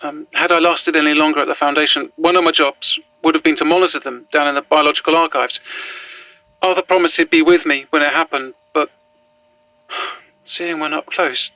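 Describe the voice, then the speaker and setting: An actress playing an exhausted, shaken young archivist in a horror audio drama, hesitant and stubborn. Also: female voice, deep voice, steady tone, normal speed, pushed and bright, no echo, low-quality recording. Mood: weary, shaken. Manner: hesitant, stammering, sighing. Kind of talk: found-footage horror audio drama acting.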